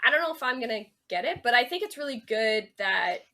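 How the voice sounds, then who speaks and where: A woman's voice: fairly high pitch, very animated, a little fast, bright, forceful tone. A woman chatting casually as a co-host on a podcast. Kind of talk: casual chat.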